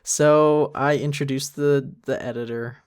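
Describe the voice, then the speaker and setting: A man with a mid-pitched voice doing casual creator commentary, rambling and self-deprecating as he talks. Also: steady tone, a little slow, darkish tone, clean tone. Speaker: series creator.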